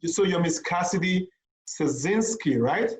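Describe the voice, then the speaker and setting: A man's voice: sits high, lively intonation, takes it slowly. A man co-hosting a casual interview, welcoming and introducing in a polite way. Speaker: show co-host. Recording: echoey room sound.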